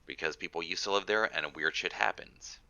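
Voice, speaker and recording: A man's voice: sits low, brisk, gravelly, pushed and bright, no echo, lo-fi sound. A man with a congested, nasal voice from a cold, reading aloud and casually explaining as a guest author.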